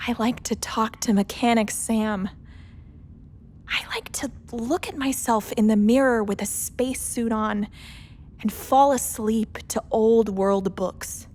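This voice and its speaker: A wry, world-weary woman astronaut narrates her own story in a radio play. Her voice sits fairly high and she takes her time, confiding in the listener with measured phrases. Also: steady tone, a little mellow. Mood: wistful, reflective. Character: wry, world-weary woman pilot recruited for a space mission.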